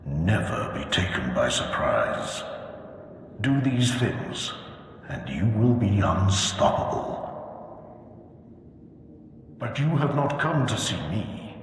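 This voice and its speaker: An actor playing an ancient, proud Sith lord's ghost in a video game, speaking in a solemn, archaic, grandiose way. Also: male voice, fairly low pitch, big pitch swings, takes it slowly, pauses often, heavily acted. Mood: foreboding. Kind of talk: video game cutscene acting. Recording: cavernous hall reverb, background noise.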